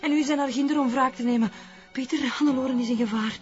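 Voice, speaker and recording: A woman's voice: high voice, fast, a little mellow, faint music behind, close to an everyday voice. An actress playing a terrified young woman held hostage in a TV crime drama, pleading with a Flemish accent. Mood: shaken, urgent. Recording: muffled, dull sound.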